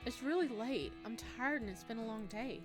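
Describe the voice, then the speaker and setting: A woman's voice: a little high, animated, normal speed, over music, close to an everyday voice. Woman as a friendly, placating game protagonist. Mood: gently firm. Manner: casual, placating. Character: friendly, puzzled visual novel protagonist, gently reassuring.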